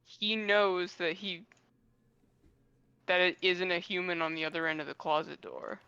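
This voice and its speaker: Slow, hesitant man with crisp bright voice. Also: high voice, level tone, no echo, muffled recording. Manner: hesitant, tentative. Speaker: guest reactor. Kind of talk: casual chat.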